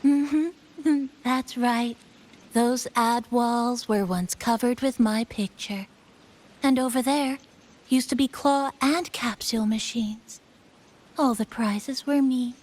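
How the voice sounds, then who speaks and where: A woman voicing a cute, gentle, nostalgic mascot spirit in a video game, speaking softly and playfully. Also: on the high side, takes it slowly. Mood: wistful, fond.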